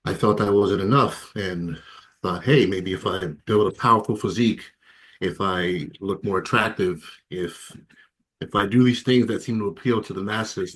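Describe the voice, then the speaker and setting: A man in his thirties from Ghana, telling his personal story as an interview guest, reflective and hesitant, with many fillers and soft laughs. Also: deep voice. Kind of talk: candid personal testimony.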